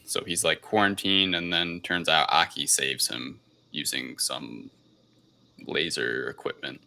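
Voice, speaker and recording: Male podcast host for film recaps: very low register with creak, halting and unscripted. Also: steady tone, pushed and bright. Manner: hesitant, halting delivery with trailing-off thoughts. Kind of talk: casual film review and plot summary.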